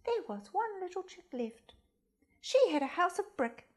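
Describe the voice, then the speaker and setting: A woman storyteller reading a children's storybook expressively, with theatrical, deliberate phrasing. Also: very high-pitched, very animated. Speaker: storyteller, primary school reader.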